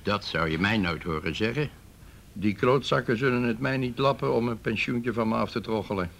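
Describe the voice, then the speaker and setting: Gravelly, grumbling police detective. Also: male voice, fairly low pitch, normal speed, a bit bright, slight background noise. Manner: blunt, sardonic. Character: gruff, grumbling, irreverent male police detective. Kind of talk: Dutch radio play acting.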